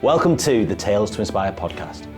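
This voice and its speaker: Man, podcast host, fairly low voice, upbeat and encouraging, faint music underneath. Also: lively intonation, fairly quick. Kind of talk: podcast host intro and interview.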